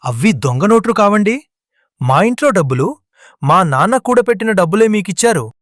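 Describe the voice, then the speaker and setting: Cartoon drama: man voicing an agitated young husband, high and animated, dry. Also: normal speed, no room sound. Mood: accusing. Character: accusing younger man of the family.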